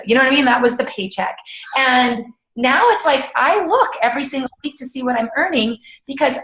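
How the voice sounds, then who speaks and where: A woman speaking casually and with feeling about her work as a coach, emphatic and quick to laugh. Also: a little high, fairly bright tone. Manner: enthusiastic, emphatic, laughs often. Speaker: network marketing coach. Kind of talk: casual testimonial talk. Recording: echoey room.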